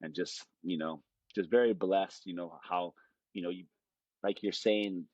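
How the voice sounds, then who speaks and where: A man's voice: sits low, steady tone, measured pace, a little mellow, dry recording, muffled recording. A man, telling stories in a rambling, easygoing way as a podcast guest. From Hawaii. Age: late 20s. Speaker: guest, jiu-jitsu fighter. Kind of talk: casual podcast conversation.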